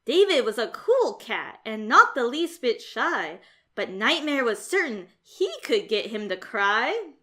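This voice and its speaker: A woman reading a rhyming children's storybook aloud as narrator, in a theatrical, expressive and measured way. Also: on the high side.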